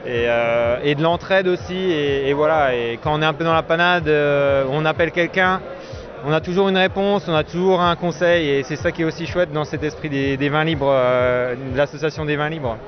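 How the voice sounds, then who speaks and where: A man who makes wine, answering interview questions in a relaxed, rambling way outdoors at a festival. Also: on the high side, normal speed, highs cut off, music in the background. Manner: relaxed, rambling, many fillers. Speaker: winemaker.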